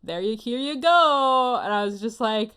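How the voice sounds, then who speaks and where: A woman's voice: a little high, animated, measured pace, a bit bright, clear, resonant tone. A woman hosting a casual chat in an informal, self-deprecating, talkative way. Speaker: podcast host.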